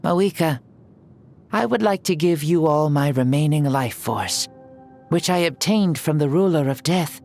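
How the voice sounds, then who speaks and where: A man playing a noble, ancient warrior leader in a video game, speaking in formal, measured oratory with a youthful spirit. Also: on the high side, lively intonation, darkish tone. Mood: solemn, generous. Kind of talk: dramatic video game voice acting. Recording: music behind the voice.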